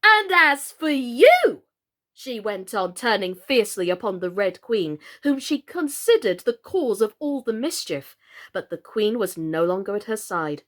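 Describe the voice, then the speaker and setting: A woman reading a children's story aloud in a theatrical, expressive way. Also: medium pitch, moderate pace. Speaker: storyteller, actress. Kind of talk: animated children's story narration.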